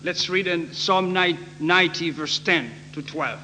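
A man from Haiti with a Haitian accent, preaching in short phrases with frequent halting pauses for an interpreter. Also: sits high, fairly even intonation, bright, forceful tone. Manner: halting, pausing often. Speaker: guest preacher. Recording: noisy echoey hall with hum.

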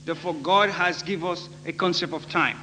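Haitian male preacher, forceful bright tone, halting short phrases, noisy reverberant hall recording. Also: sits high. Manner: halting, pausing often. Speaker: guest preacher. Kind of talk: sermon through an interpreter, in short phrases. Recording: noisy echoey hall with hum.

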